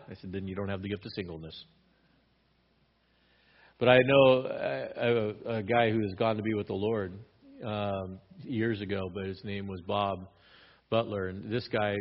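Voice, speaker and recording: A man's voice: medium pitch, dull audio. Man preaching an easygoing Bible talk. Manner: conversational, repeats words when restarting. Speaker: pastor. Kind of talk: sermon teaching.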